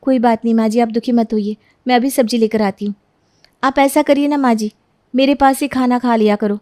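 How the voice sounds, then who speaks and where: A woman's voice: on the high side, fairly even intonation, a little fast, darkish tone, no echo, studio quality, close to an everyday voice. A woman voicing a dutiful young daughter-in-law in a family story, aggrieved but polite to her elders. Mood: caring, obliging. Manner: complaining yet polite to elders.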